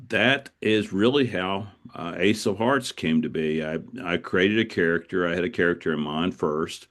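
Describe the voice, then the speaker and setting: A mature man speaks in a deep, low register as an interview guest. He answers in a conversational, reflective way, telling things as anecdotes and often doubling back on his words. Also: fairly bright tone. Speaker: author guest.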